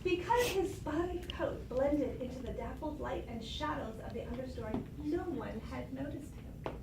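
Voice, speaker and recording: A woman's voice: high voice, moderate pace, vocal fry. A woman teacher reading a storybook aloud to children, prompting them and thinking aloud. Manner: prompting, thinking aloud, addressing pupils as readers. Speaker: primary school teacher. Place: classroom. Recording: echoey classroom, distant mic, noisy.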